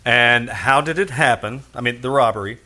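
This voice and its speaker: Man as world-weary detective, medium pitch, sharp forceful tone, wry, clipped, hard-boiled radio drama. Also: normal speed, close to an everyday voice. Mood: brisk, probing. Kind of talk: old-time radio detective drama acting.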